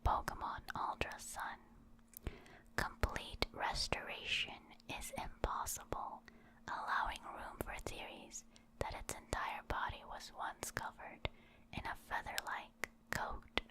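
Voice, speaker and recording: Slow whispering ASMR woman. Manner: soft, unhurried, soothing delivery with repeated words. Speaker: ASMR creator. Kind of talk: whispered ASMR reading of game encyclopedia entries.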